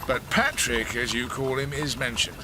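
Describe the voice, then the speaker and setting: An actor playing a polite, reserved Victorian detective in a radio drama, terse and probing. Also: male voice, medium pitch, moderate pace, noisy background, natural voice. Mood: guarded, probing. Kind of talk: naturalistic radio drama acting.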